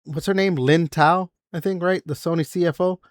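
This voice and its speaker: A man's voice: fairly high pitch, fairly even intonation, normal speed, no room sound, studio quality. A man in his forties hosting a casual gaming podcast, rambling with lots of fillers and the odd swear. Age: 40s. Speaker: podcast host. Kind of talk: casual gaming news chat.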